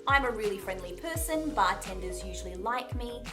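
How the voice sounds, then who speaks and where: A woman's voice: mid-range voice, animated, normal speed, over music. A woman with an Australian accent hosting a video, chatting informally through tips in an upbeat, emphatic way. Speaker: video host, accent tutor. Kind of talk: chatty scripted travel tips to camera.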